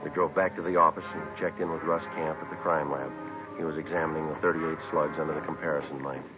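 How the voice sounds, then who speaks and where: Deep-voiced deadpan police detective. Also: male voice, fast. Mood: matter-of-fact. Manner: deadpan, matter-of-fact. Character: calm, businesslike police detective sergeant narrating his case. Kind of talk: 1950s radio police drama, clipped factual narration. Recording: muffled narrow-band old radio, music behind.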